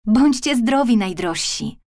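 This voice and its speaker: Storybook mermaid girl acted by a woman: high, plaintive, tender princess. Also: expressive, a little slow, a little mellow. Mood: fond farewell.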